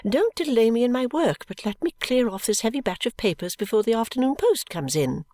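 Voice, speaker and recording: A woman reader voicing a middle-aged mother who answers her daughter in a calm, clear, complacent and coolly dismissive way. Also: on the high side, brisk, dry recording, voice pushed up. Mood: weary brisk.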